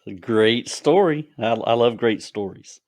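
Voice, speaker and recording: A man's voice: mid-range voice, animated, unhurried, a little mellow, no echo. A man hosting a podcast, giving brief reactions and asking loosely worded questions. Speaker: podcast host. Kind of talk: casual interview.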